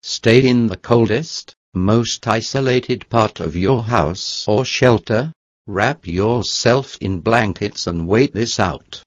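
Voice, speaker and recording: A man's voice: lowish voice, takes it slowly. A man reading a scripted mock emergency broadcast as a radio announcer. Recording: dull, echoey lo-fi audio.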